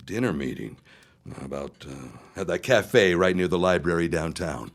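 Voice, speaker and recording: A man's voice: deep voice, lively intonation, moderate pace. An actor playing a cold, domineering 1910s businessman husband in an audio drama, brusque, dismissive and commanding. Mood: sly, confiding. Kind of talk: period audio drama acting.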